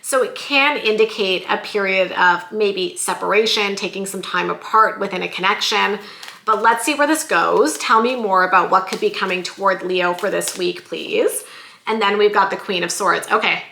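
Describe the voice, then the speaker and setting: A woman giving a weekly tarot love reading in a chatty, lively way, her voice going a little hoarse. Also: mid-range voice, moderate pace, reverberant, slight background noise. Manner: chatty, lively, says "like" and "you guys" often. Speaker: tarot reader.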